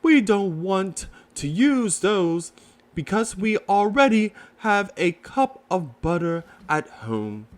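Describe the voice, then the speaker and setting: A man voicing a gentle, simple-minded storybook bear in a mild, unhurried way. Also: sits high, big pitch swings, voice pushed up, heavily acted. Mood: friendly.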